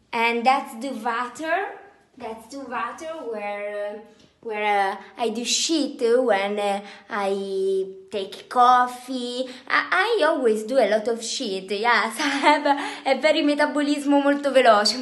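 High slow Italian-accented woman. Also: a bit bright. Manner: mixes clumsy English with Italian, playful mock-glamour. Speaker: vlogger giving a parody house tour. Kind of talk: comic home tour vlog. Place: small apartment, indoors. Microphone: phone held at arm's length.

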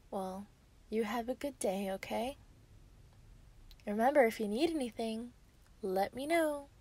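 Woman acting a slow, breathy yandere werewolf girl. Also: fairly high pitch, darkish tone. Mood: falsely sweet. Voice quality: breathy, often dropping to a whisper. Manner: slow, teasing, giggly. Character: obsessive female yandere werewolf, secretly murderous, sweetly possessive. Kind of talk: ASMR roleplay acting.

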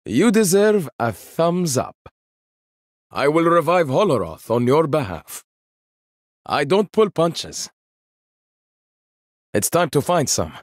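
A proud young inventor-warrior. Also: male voice, on the high side, big pitch swings, no room sound, exaggerated voice. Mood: confident. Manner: measured, proud, dry wit. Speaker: game character. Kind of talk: video game voice lines.

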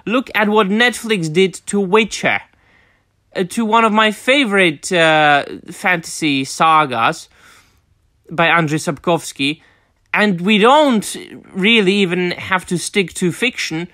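A young man from the Republic, hosting his channel with a formal, deliberate opinion monologue full of fillers and restarts. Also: high voice, pushed and bright, no echo, clean studio sound. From Czech Republic. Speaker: channel host.

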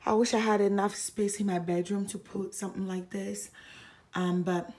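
A woman giving a casual, chatty home tour in a hushed voice, gushing with admiration as she walks through indoor rooms with a handheld camera. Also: little pitch movement, normal speed. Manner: hushed, keeping voice low. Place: indoors, walking through a furnished model home. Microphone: handheld phone or camera held by the speaker.

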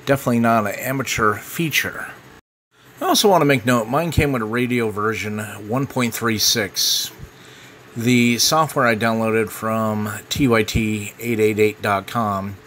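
An American man talks through a product casually, in a matter-of-fact way. His voice is bright and forward at a middle pitch, with some noise in the recording behind him. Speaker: amateur radio reviewer. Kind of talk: casual product review narration.

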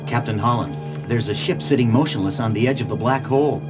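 An actor playing an eager, excited young crew member of a spaceship. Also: male voice, mid-range voice, fast talker, over music, close to an everyday voice.

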